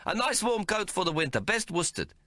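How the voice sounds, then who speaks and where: A man's voice: sing-song, moderate pace, sharp tone, no echo, studio quality, full character voice. A man voicing a sly, haggling little male tailor with a whiny, pleading manner in an audiobook. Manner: whiny, pleading, high-pitched. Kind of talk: audiobook character voice.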